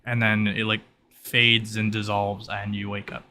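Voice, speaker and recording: A man running a tabletop roleplay as game master, narrating in a halting, improvised way. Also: fairly low pitch, level tone, bright, forceful tone. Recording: noticeable background noise.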